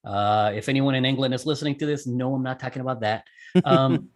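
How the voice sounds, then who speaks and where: A man's voice: medium pitch, fairly quick. Man casually explaining technical steps. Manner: conversational, step-by-step explaining with self-corrections. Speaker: interview guest, amateur radio operator and skydiver.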